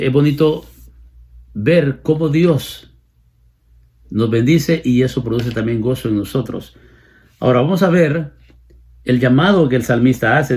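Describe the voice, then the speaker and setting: Male preacher, late 50s, Salvadoran accent, medium pitch, slightly rough husky tone, expository sermon delivery with emphatic repetition. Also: darkish tone. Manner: repeats phrases for emphasis.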